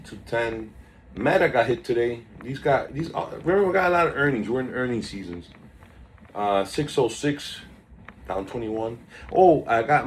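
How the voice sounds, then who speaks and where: A man's voice: mid-range voice, slight background noise. A man hosting a casual stock market video, chatty and drifting off topic while reading out stock moves, recorded on a phone. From South Florida. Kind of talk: casual rambling market commentary.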